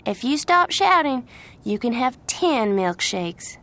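A woman voicing a gentle, friendly young woman who is patient with children, in an audiobook story. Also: medium pitch, moderate pace, vocal fry, voice pushed up, natural voice. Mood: exasperated. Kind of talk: audiobook character voice.

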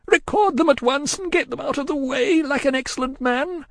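A man's voice: very high-pitched, animated, fairly quick, dry recording, clean studio sound, voice pushed up, full character voice. A man voicing a distraught, prim and loyal Englishwoman in a storybook, fussy, formal and emphatic in tearful agitation. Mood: tearful impatience. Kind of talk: audiobook character acting.

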